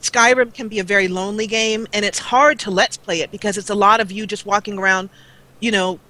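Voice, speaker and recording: A woman's voice: medium pitch, fairly even intonation, a little fast, bright, forceful tone, low-quality recording. A woman chatting casually as a guest on a gaming live stream, rambling and playful, over a basic microphone. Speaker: guest, gaming roleplay video creator. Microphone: low-grade microphone on a live call.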